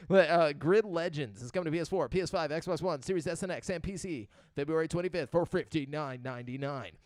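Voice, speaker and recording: A man's voice: on the high side, no room sound. A man hosting a casual podcast, reading game release news in a loose, profane, joking way and stumbling over names. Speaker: podcast host.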